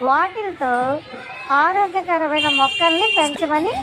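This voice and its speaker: A woman's voice: very high-pitched, measured pace, heavy background noise. A woman presenting and narrating a story as a schoolteacher would, in a scripted storytelling style. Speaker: teacher presenting and narrating a children's school skit.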